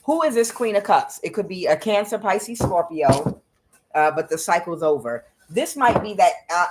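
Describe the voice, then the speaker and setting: A woman doing a tarot reading on her own. She talks bluntly and swears often, questions the cards aloud, and fills her pauses with "uh". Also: lowish voice, background noise. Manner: blunt and profane, with frequent "uh" fillers. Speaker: tarot reader. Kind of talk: solo tarot reading to camera.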